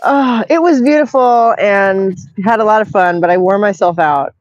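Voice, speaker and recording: A woman's voice: mid-range voice, normal speed, no echo, highs cut off. A middle-aged woman hosting a casual call, chatty and tired from being sick, reading chat messages aloud. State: tired, recovering from illness. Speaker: channel host.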